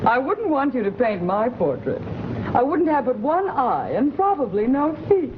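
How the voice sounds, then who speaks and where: A woman's voice: high-pitched, very animated, moderate pace. A woman playing a vain, mocking American stage actress with a theatrical, sarcastic manner in an old-time radio drama. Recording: muffled, noisy old radio recording.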